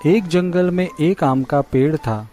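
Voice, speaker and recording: Slow-paced male children's storyteller. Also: a little high, warm tone, soft background music. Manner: simple, measured storytelling. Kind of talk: scripted children's story narration.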